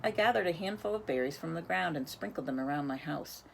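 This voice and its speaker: A woman's voice: deep voice, lively intonation, fast talker, vocal fry, a little hiss or hum, rough recording. A woman reading a picture book aloud expressively, now and then speaking to young listeners. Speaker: reader.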